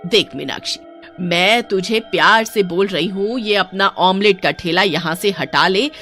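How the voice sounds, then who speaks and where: Woman voicing a shrill scolding village auntie. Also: moderate pace, faint music behind, natural voice. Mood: angry. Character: spiteful nosy older village auntie.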